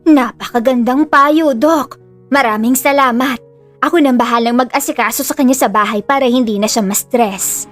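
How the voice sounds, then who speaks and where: Sugary, two-faced middle-aged aunt, acted by a woman; high voice, faint music behind, radio-drama delivery. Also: moderate pace, lightly acted. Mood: grateful, sugary. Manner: gushing, falsely sweet. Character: sugary, falsely caring middle-aged aunt, secretly scheming. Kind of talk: Filipino audio drama acting.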